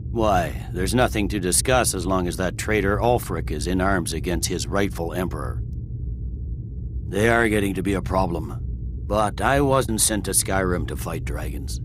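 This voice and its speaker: No-nonsense military commander, low pitch, brusque, dutiful, game dialogue, clear ambient noise. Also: male voice, a little slow. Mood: dismissive, firm. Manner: brusque, clipped, authoritative. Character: gruff, dutiful imperial army general, no-nonsense. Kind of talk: video game dialogue acting.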